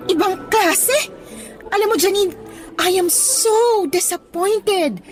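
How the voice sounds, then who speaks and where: A high-voiced, stern, hurt schoolteacher. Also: female voice, takes it slowly, soft background music. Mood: hurt, disappointed. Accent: Filipino. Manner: scolding, emphatic, mixes in English. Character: stern, hurt female schoolteacher scolding her student. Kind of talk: Tagalog radio drama acting.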